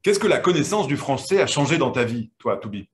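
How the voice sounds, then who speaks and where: A man's voice: mid-range voice, big pitch swings, a little fast, echoey room, slight background noise. A man hosting an online quiz final, interviewing the winners in a friendly, hesitant way with many fillers. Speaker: host.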